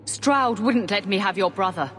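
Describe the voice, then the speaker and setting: A woman's voice: medium pitch, lively intonation, normal speed, natural voice. An actress playing a formal, assured and zealous woman mage who leads a warden order in a fantasy game. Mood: insistent, resolute. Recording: long hall reverb, faint ambient noise.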